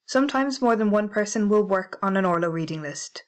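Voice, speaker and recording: A woman with a British accent narrating a library software tutorial, clear and methodical, spelling out codes letter by letter. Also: mid-range voice, lively intonation, brisk. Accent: British English. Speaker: library staff trainer. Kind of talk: instructional screencast narration.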